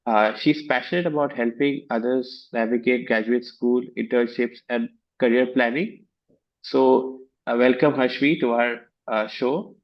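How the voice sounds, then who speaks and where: A man hosting a conversational interview show, speaking in a halting, hesitant way. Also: medium pitch, fairly even intonation. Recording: dull, muffled lo-fi audio.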